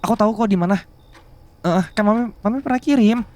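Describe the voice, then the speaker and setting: A man playing a devoted, bashful younger boyfriend in love with his boss, speaking softly and haltingly in an intimate ASMR romance roleplay. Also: sits high, close to an everyday voice. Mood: worried, fussing. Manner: soft, halting, tender, with murmured hums.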